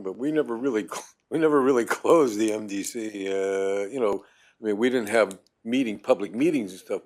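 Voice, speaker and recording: A man making informal remarks at a committee meeting, halting and rambling, often repeating words as he goes. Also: fairly low pitch, a little hiss or hum. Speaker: committee member.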